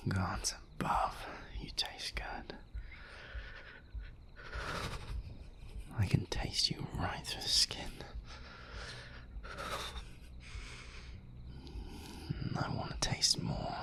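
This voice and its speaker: A performer playing a seductive, courtly vampire in an intimate romantic audio roleplay, whispering in a slow, suave, teasing way. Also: natural voice. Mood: hungry, intimate. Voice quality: breathy, whispery. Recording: music behind the voice.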